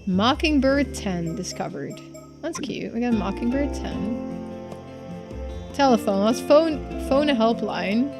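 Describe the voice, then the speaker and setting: A woman's voice: fairly high pitch, expressive, unhurried, music in the background. A woman streaming a game with casual, offhand playthrough commentary.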